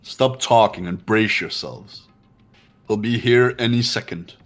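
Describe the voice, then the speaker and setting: A man's voice: lowish voice, a little slow, faint music behind, natural voice. A man playing a haughty, cool-headed young man with a curt, condescending manner in a fan-dubbed game. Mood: curt, impatient. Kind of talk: fan-dubbed visual novel game acting.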